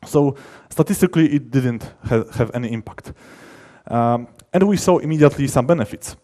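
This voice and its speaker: Mellow-voiced man giving a talk. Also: medium pitch, lively intonation. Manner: emphatic, halting, often rephrasing mid-sentence. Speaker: conference speaker, software developer. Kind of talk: technical conference presentation, informal.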